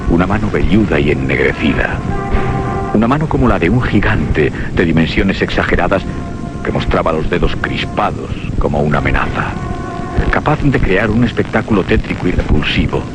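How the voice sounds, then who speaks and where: A man's voice: animated, normal speed, over loud music. A man narrating a scripted radio horror story in a solemn, dramatic manner. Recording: loud windy rumbling background noise.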